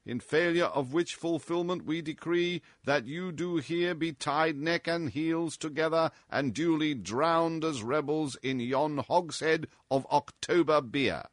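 Man acting a pompous, high-pitched mock-royal judge. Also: unhurried, hardly any pauses, no echo, studio quality, natural voice. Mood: solemn decree. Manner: declamatory, grandiose, exclamatory. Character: pompous mock-royal court passing solemn, gleeful judgment. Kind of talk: storybook character voice in an audiobook.

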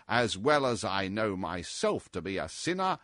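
A man voicing a boozy, irreverent sailor in a storybook, rambling defiantly in drawn-out nautical talk with mocking chuckles. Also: lowish voice, sing-song, a bit bright, dry recording, clean studio sound, pitched below the performer's own voice. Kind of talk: storybook character voice in an audiobook.

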